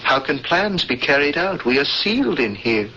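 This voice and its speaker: A man's voice: on the high side, sing-song, moderate pace, sharp tone, slight background noise, full character voice. An actor playing a stoic male prisoner and lone rebel, resigned and earnest, in a 1970s radio drama. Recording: dull lo-fi old radio broadcast.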